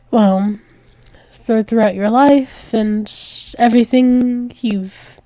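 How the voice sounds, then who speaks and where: A woman's voice: a little high, dark tone, dry recording. A woman playing a tender, possessive alpha werewolf demon lover in an intimate ASMR roleplay, soothing and repetitive with small hesitant stumbles. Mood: flustered, devoted. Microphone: close microphone, dry.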